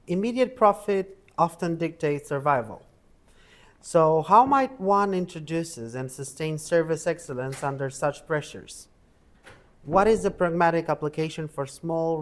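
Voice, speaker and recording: Guy interviewing, kinda higher voice, lots of ums. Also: measured pace, fairly bright tone. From Romania. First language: Romanian. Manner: hesitant, searching for words with fillers. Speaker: journalist, interviewer. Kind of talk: interview questions.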